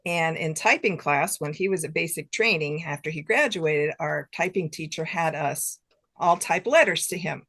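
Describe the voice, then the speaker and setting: A woman's voice: low voice, moderate pace, a bit bright. An older woman chatting casually and informally as a guest author in an interview, with a giggly streak. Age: late 50s to 60s.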